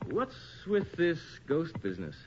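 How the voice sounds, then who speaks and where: An actor playing an inquisitive, wry and uneasy investigator in a radio horror drama, speaking in an expressive, questioning way. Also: male voice, fairly high pitch, a little slow. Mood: uneasy, curious. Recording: dull, noisy old radio recording.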